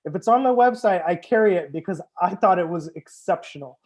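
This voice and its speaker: A man's voice: high voice, lively intonation, fairly quick, darkish tone. A man hosting a live wine tasting as a sommelier, chatting casually with viewers, joking and swearing now and then. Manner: chats with viewers, answers comments. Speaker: sommelier host.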